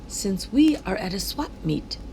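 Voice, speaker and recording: A woman voicing a kindly, expressive flower seller in a children's storybook. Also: medium pitch, a little slow, slight background noise. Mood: warm.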